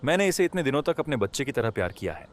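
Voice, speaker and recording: Kindly poor villager for a cartoon, played by a man: mid pitch, very lively delivery, folksy and exclamatory. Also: very fast, lightly acted. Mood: firm. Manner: exclamatory, rustic colloquial. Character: kind, tender-hearted poor village man. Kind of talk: animated moral story acting.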